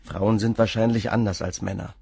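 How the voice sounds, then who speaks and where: An agitated young man under police questioning in a radio drama. His voice is low and husky, recorded dry, and his answers come out hesitant and anxious. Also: steady tone, normal speed, darkish tone, no echo. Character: nervous young man, pale, agitated suspect. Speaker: suspect being questioned.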